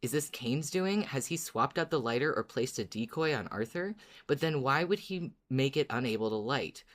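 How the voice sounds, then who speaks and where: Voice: mid-range voice, lively intonation, moderate pace, a bit bright. A podcast co-host with an androgynous voice, chatting casually and reading out listener messages. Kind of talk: casual fan discussion and reading listener emails.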